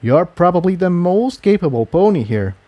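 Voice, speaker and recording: A man voicing a modest young male unicorn pony, soft-spoken and earnest, in a storybook reading. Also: high voice, big pitch swings, moderate pace, dry recording, lo-fi sound, voice pushed up. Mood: gentle reassurance.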